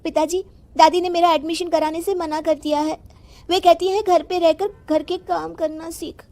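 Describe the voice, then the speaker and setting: A woman's voice: extremely high pitch, flat delivery, normal speed, mellow, voice pushed up. A woman voicing an earnest, meek young schoolgirl granddaughter in a moral story, with a pleading, eager manner.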